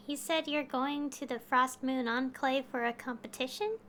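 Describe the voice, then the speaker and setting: Bright, high-voiced woman streamer. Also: steady tone, normal speed, a little hiss or hum. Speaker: game streamer. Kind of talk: casual livestream playthrough, reading and reacting. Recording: game music behind. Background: game music.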